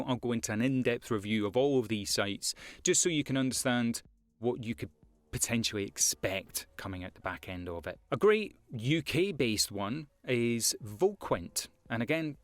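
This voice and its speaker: A man's voice: medium pitch, dry recording. Scottish man giving casual career advice. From Scotland. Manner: conversational, frequent fillers and repeated words. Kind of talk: casual advice talk to camera.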